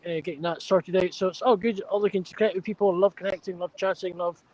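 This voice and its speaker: A chatty Scottish man introducing himself casually and a little haltingly as a livestream guest. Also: high-pitched, fairly even intonation, a little mellow. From Scotland. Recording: dull lo-fi stream audio.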